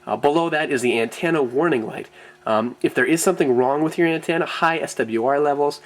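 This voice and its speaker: A man presenting an unscripted product walkthrough as a reviewer, often pausing with ums and repeating words as he goes. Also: fairly high pitch.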